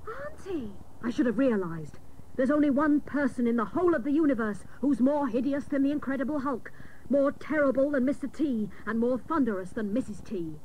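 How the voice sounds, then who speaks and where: An actress playing a hapless, literal-minded female private detective, narrating a comic radio serial with mock-dramatic seriousness and deadpan jokes. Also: high-pitched, moderate pace. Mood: earnest, clueless. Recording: noisy old cassette of radio broadcast.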